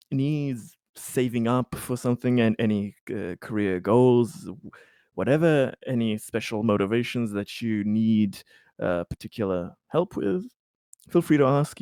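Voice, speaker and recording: A man's voice: medium pitch, mellow, no room sound, clean studio sound. A man playing a hesitant, apologetic colony boss in a tabletop roleplaying game, stammering as he tries to sound earnest but comes off a little shifty. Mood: supportive, earnest. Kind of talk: improvised tabletop roleplay dialogue.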